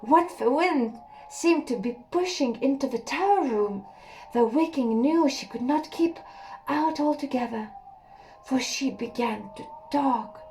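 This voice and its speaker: A young woman reading a literary story aloud in a slow, hushed, measured way, with an occasional slip. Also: high voice, expressive, slight background noise, poor audio. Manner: slow, hushed and measured, with a few slips and laughs. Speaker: audiobook reader.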